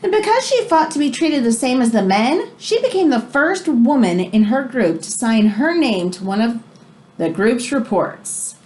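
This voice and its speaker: Animated, high-pitched woman reading aloud. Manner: explanatory, emphatic, with drawn-out key phrases. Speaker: teacher reading a children's book aloud. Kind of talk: expressive read-aloud of a children's nonfiction book with brief asides.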